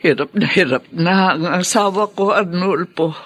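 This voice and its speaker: An actress playing a distraught, devoted wife and mother in a Filipino radio drama, speaking with tearful, drawn-out emphasis. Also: on the low side, no echo. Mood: anxious, resolute.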